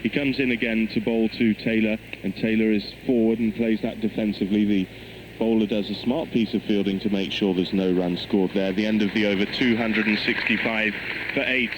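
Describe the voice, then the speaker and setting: A man's voice: fairly low pitch, noisy background. A man giving live radio cricket commentary, heard over a shortwave broadcast. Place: cricket ground commentary position.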